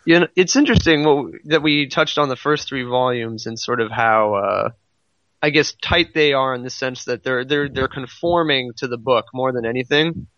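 A man's voice: mid-range voice, moderate pace, fairly bright tone, no echo, muffled recording. A man in a casual podcast discussion of manga, rambling a little as he thinks aloud. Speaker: podcast co-host.

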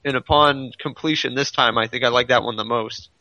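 A man's voice: medium pitch, steady tone, brisk, sharp tone, dry recording, lo-fi sound. A man chatting casually about video games as a podcast co-host, explaining things in a rambling way and often interrupting himself.

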